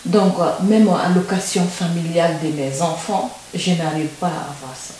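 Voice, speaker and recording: A woman from Guinea, answering interview questions in a halting way with simple phrasing. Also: fairly low pitch, fairly even intonation, dark tone. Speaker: interview guest. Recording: roomy echo with faint hiss.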